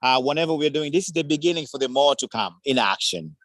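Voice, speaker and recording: A man's voice: mid-range voice, animated, fast, dry recording. A man from Tanzania with a Tanzanian accent, speaking effusively at a community forum in broken English. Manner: effusive, warm praise, broken English phrasing. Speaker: guest speaker. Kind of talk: enthusiastic community forum remarks.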